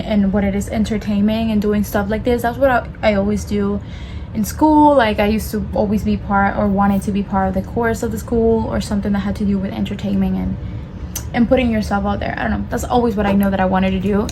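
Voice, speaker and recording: A woman's voice: mid-range voice, noisy background. A woman in her early twenties from Cuba, a native Spanish speaker, chatting casually in English as she does her makeup, rambling with fillers and restarts. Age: early 20s. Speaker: beauty vlogger. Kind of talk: casual get-ready-with-me chat.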